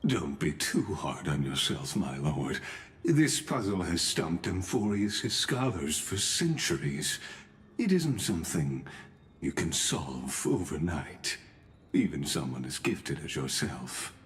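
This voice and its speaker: A humble, learned retainer in a video game. His voice is low and unhurried, with expressive rises and falls, and he speaks with gentle, formal deference. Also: slight background noise, heavily acted. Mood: sympathetic, reassuring. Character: courteous, scholarly, humble male retainer with gentle temper. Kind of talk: video game character acting.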